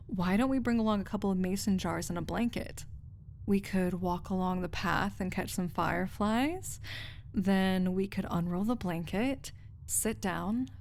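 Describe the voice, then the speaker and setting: A woman playing a lovestruck research assistant in an intimate romantic audio roleplay, tender and wry, with soft, lingering phrasing. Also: medium pitch, natural voice. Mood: dreamy, happy.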